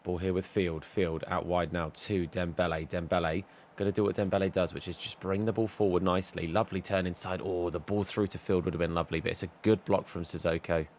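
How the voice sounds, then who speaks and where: A man with a hoarse voice, losing it, giving chatty, upbeat commentary over a football video game and talking straight to his viewers. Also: low voice, little pitch movement, brisk, a little mellow, a little hiss or hum. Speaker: gaming YouTuber. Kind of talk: casual gameplay commentary.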